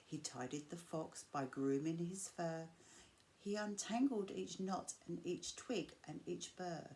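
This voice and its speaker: A woman reads a rhyming children's picture book aloud. Her voice sits a little low and she goes slowly, drawing out key words with expressive phrasing. There is noticeable noise behind her. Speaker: storyteller reading to school pupils. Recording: clear background noise.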